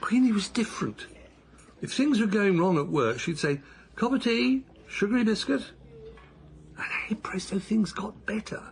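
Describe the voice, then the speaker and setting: A gravelly older pilgrim husband. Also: male voice, high-pitched, big pitch swings, normal speed, slight background noise, heavily acted. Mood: musing. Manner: gentle, musing, wondering. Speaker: man on a long walk, husband. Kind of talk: radio drama acting.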